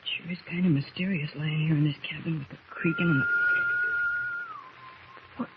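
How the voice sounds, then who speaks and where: A muffled, noisy old radio recording. an earnest teenage boy with a high voice. He talks in wholesome exclamations like a plucky young adventurer of a radio serial. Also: expressive, moderate pace. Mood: anxious, plucky. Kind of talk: 1940s radio drama acting.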